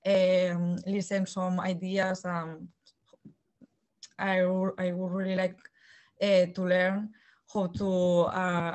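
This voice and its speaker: A young woman from Spain, a volunteer, introducing herself chattily on a video call. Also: medium pitch.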